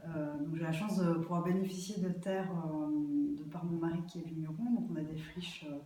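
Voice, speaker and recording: Heavily echoing distant recording, woman, mellow tone, from Belgium, interview guest, hesitant spontaneous speech. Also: low-pitched, little pitch movement, normal speed. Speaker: interview guest, aspiring herb grower. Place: echoey festival venue. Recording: very echoey room, distant microphone.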